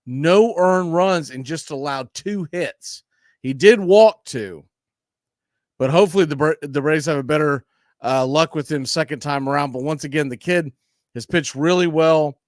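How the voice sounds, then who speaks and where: A man with a fairly high voice hosts a baseball pregame show. He talks off the cuff in a commentary style, recorded close and dry. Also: no echo. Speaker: sports show host.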